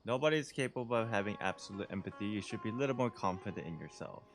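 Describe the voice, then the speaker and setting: A man's voice: fairly low pitch, expressive, fast. A man commenting casually on a video game as he plays and reading some dialogue aloud. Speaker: streamer playing a video game.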